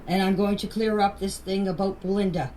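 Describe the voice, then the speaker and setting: A woman's voice: medium pitch, fairly even intonation, brisk, warm tone. An older woman from Canada gives an earnest, defensive personal account in a voice message, insisting on her points and repeating them. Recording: distant, noisy phone voice message.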